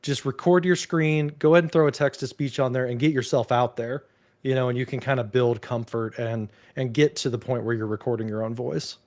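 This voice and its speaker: A male host leads a casual conversation in a relaxed, chatty way. He leans on "like" and "you know" as he explains things, with a voice of ordinary middle pitch. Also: brisk. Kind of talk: casual chat on a video call.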